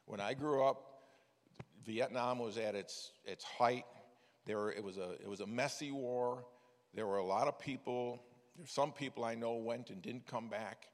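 A man's voice: medium pitch, measured pace. An older man preaching a sermon, telling stories in a folksy way with frequent restarts. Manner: folksy storytelling with many restarts. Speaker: preacher, former missions director. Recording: echoey hall with long reverb.

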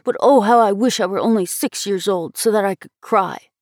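A woman voicing a quick-tempered young boy in a storybook, blurting and indignant. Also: medium pitch, dry recording, clean studio sound, natural voice. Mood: grudging.

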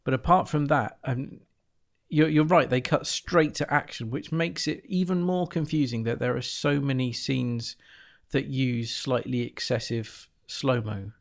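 A man on a discussion panel, talking casually and thoughtfully in a halting, hesitant way. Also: medium pitch, gravelly. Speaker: podcast panellist.

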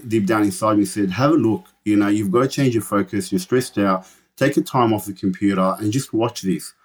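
A man in his forties, born in Lebanon, talking earnestly and quickly as an interview guest, often restarting his sentences. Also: fairly low pitch, darkish tone. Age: 40s. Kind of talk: conversational interview.

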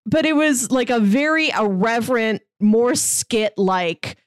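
A woman's voice: a little high, slow, clean tone, no echo, clean studio sound. A woman co-hosting a podcast, chatting casually about pop culture in a talkative way full of "like". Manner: chatty, frequent "like". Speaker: podcast co-host.

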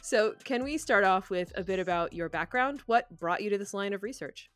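A woman's voice: medium pitch, lively intonation, normal speed, faint music behind. A woman hosting a podcast, moving between scripted introduction and conversational interview questions.

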